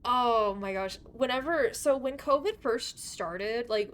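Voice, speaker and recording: A woman's voice: on the high side, slow pace, fairly bright tone. A young woman streaming live while gaming, chatting in a rambling, giggly way, her voice a bit worn from losing it lately. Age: young adult. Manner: rambling and giggly, full of fillers. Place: her bedroom.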